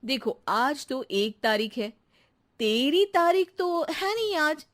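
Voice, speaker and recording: A woman's voice: high-pitched, very animated, slow, exaggerated voice. A woman playing a teasing, exasperated housewife who scolds her maid in a comic cartoon story. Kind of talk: comic animated story dialogue.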